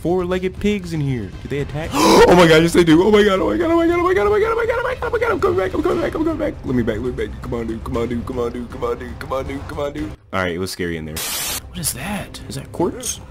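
A young man bantering casually with friends during gameplay, excitable, slangy and quick to swear. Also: on the high side, over music. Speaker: gaming video creator.

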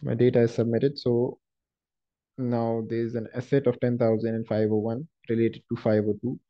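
A man working as a software trainer, explaining a screen-share tutorial step by step in a halting, explanatory way. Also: mid-range voice, little pitch movement. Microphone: screen-recording voice-over. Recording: dull lo-fi voice recording.

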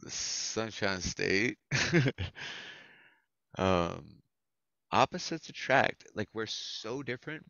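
A man's voice: on the low side, expressive, slow, vocal fry, pauses often, sharp tone, dry recording. An American man in his thirties hosting an open mic over a video call, chatting casually and effusively with plenty of slang and swearing. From Florida, United States. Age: 30s. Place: indoors at a computer, video call. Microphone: headset. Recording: dull, lo-fi video call audio.

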